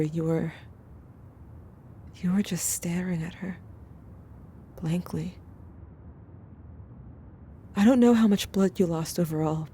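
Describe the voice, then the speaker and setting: A woman playing a haunted, protective sibling in an audio drama, confiding a traumatic memory in a hushed, subdued way. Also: fairly low pitch, slightly rough. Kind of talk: intimate scripted second-person narration.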